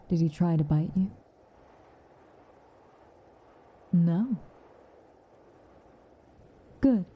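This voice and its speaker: A woman playing a stern but caring witch in an ASMR roleplay, speaking softly and with measure, dryly commanding and often slipping into a whisper. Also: lowish voice, husky, highs cut off, exaggerated voice. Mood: curious, reassuring. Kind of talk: ASMR roleplay, intimate acted monologue.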